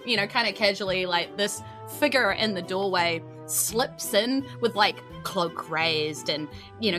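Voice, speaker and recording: A woman's voice: medium pitch, pushed and bright. A woman with a New Zealand accent narrating a tabletop roleplay game as game master in a chatty, informal way.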